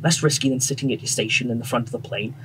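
An actor playing a friendly, assured wartime bomber pilot with a British accent, brisk and reassuring, in a radio drama. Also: male voice, medium pitch, lightly acted. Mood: genial, welcoming. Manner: brisk, reassuring, polite officer. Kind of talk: period radio drama acting. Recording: noisy aircraft engine background.

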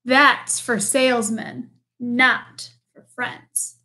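A woman's voice: fairly high pitch, fairly even intonation, slow pace, bright, forceful tone, exaggerated voice, rough recording. A woman voicing a lonely male bear in a storybook, speaking in an emphatic, pleading way. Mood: earnest. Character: lonely gruff male bear, eager host.

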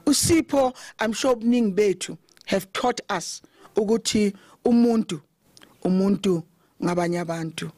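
A middle-aged woman with a South African accent giving a loud, conversational funeral tribute at a podium mic under an open thatched shelter, switching between English and Zulu. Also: medium pitch, normal speed, no echo, clean studio sound. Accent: South African, mixing Zulu. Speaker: eulogy speaker, committee chair.

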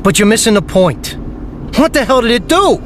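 An actor playing an exasperated, rough-talking gangster driver, blunt and irritable, in an audio drama. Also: male voice, very high-pitched, sing-song, moderate pace. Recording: faint car noise behind.

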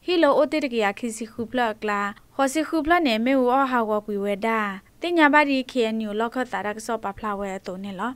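A woman's voice: fairly high pitch, quick pace, hardly any pauses, clear, resonant tone, no echo. A woman reading a scripted radio news bulletin.

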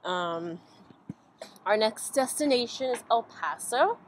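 A woman's voice: a little high, expressive, slow. Young woman doing a casual travel vlog, kinda rambly, handheld camera mic w some background noise. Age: young adult. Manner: informal, rambling. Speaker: vlog host, filmmaker. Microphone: built-in camera mic at arm's length. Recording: noisy handheld amateur recording.

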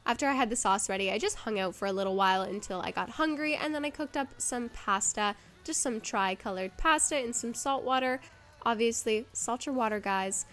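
A woman's voice: fairly high pitch, brisk, fairly bright tone. A woman in her twenties, nasal from a cold, chatting casually as a vlogger at home. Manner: chatty, bubbly, says "like" a lot. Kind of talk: casual voice-over narration. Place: home kitchen, indoors.

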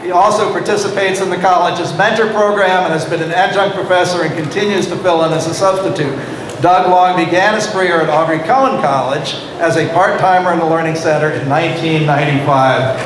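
A middle-aged man giving a formal, measured award presentation at a podium microphone during a graduation ceremony in a large auditorium. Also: on the high side. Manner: measured, reads from prepared remarks. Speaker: college official presenting awards. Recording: echoey hall with crowd and noise.

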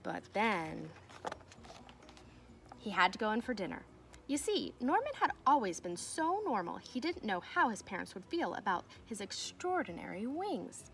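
A young woman reads a children's story in a medium-pitched voice. Her delivery is expressive, with emphatic swells on key words. The recording is made outdoors, with some ambient noise behind her. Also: moderate pace, vocal fry. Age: young adult. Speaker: teacher reading aloud to pupils. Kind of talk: children's storybook read-aloud. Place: outdoors in a fenced backyard.

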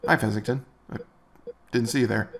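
A man's voice: mid-range voice, fairly even intonation, fairly quick, vocal fry, fairly bright tone, slight background noise. A man hosting a comedic commentary video, sarcastic and exasperated as he rants. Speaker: host.

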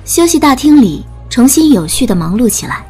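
High, husky female story narrator. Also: sing-song, normal speed, warm tone. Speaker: audiobook narrator. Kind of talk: scripted dramatic story narration. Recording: music bed under narration.

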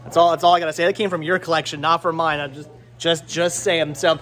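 A man's voice: on the high side, little pitch movement, quick pace, fairly bright tone. A man in his thirties hosting a casual vlog, chatting to the camera and often repeating his words as he stammers along. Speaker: vlog host. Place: small cluttered movie and game store. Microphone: handheld camera at arm's length.

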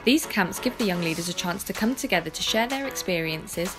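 A woman reading scripted documentary narration in a measured, clear way. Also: on the low side, expressive, low-quality recording. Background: background music.

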